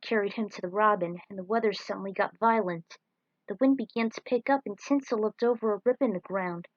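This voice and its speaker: A woman's voice: medium pitch, a little fast, dry recording. A woman reading an action story aloud as narrator, in a dramatic scripted style. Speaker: narrator reading own fan fiction. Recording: dull, lo-fi close recording.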